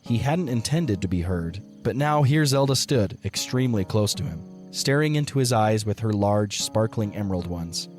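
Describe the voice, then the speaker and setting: A man's voice: on the low side, expressive, moderate pace, hardly any pauses, a little mellow, faint music behind. A man reading scripted story narration for an audio drama.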